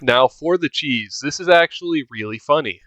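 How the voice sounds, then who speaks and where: A man's voice: on the high side, lively intonation, moderate pace, sharp tone, no echo. A man gives casual, informal commentary for a video game walkthrough, talking directly to viewers. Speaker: gameplay guide narrator.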